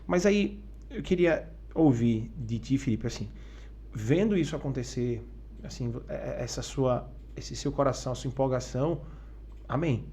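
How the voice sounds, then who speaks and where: A man's voice: medium pitch, moderate pace, warm tone, slight background noise. A man hosting a podcast interview, asking rambling strings of questions. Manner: rambling, stacks several questions at once. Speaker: podcast host.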